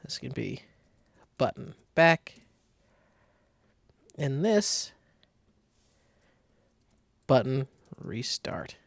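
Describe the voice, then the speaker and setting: A man giving a casual software tutorial, talking informally and thinking aloud as he works, with a creaky, gravelly voice. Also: mid-range voice, sing-song, extremely slow, pauses often, dry recording. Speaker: design software tutorial host. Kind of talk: casual screen-recorded tutorial.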